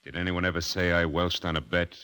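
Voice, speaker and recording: A high-rolling gambler in an old radio drama. His low voice stays terse and unruffled, cool and resolute in every short reply. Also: level tone, brisk, pushed and bright, smooth. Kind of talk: 1940s-50s radio drama acting.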